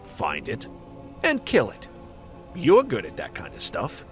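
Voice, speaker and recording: A hard-boiled detective played by a man in a fantasy radio drama. He is world-weary and knowing, with brooding, deadpan lines that swing widely in pitch, over faint music. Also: measured pace, exaggerated voice. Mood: resigned, wry. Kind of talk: noir radio play narration and dialogue.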